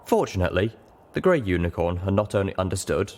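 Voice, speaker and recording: A man's voice: deep voice, very animated, fast talker, darkish tone. A man reading a scripted first-person story as an audiobook narrator.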